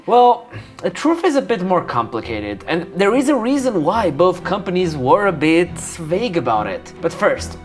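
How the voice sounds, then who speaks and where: Wry, animated narrator over music. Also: medium pitch. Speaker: narrator and host. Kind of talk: scripted narration with casual asides. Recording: music under the voice. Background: background music.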